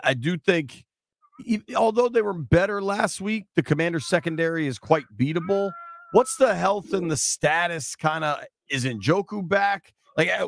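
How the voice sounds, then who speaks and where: A man's voice: fairly high pitch, creaky. An American man hosting a casual sports radio interview on a studio microphone. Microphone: studio broadcast microphone on boom arm.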